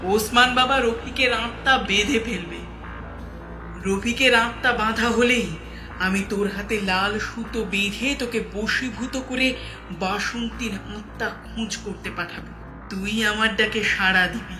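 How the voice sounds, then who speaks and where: A man's voice: high-pitched, fairly even intonation, a little slow. A man voicing a commanding female tantric sorceress who gives ritual orders. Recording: roomy echo with loud music.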